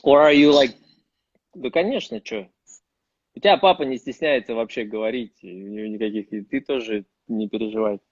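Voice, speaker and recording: Male host, Russian native, mid-pitch, restart-prone, on a dull video-call line. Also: no echo. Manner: friendly, halting, frequent restarts and laughs. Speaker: host and English teacher. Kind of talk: casual online conversation.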